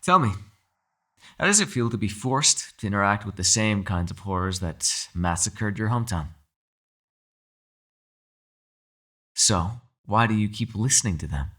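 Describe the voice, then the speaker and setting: A man playing a captive mind-controlling being in an ASMR roleplay, calm, shrewd and persuasive, speaking in a measured, formal, quietly condescending way. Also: lowish voice. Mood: coolly probing. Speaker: voice actor. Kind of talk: ASMR roleplay, dramatic monologue.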